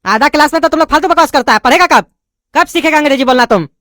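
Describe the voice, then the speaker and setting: A woman's voice: high-pitched, racing, fairly bright tone, no room sound, studio quality. A woman voicing an impatient male teacher who scolds and mocks his students, with a lilt. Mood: scolding. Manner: scolding, sarcastic.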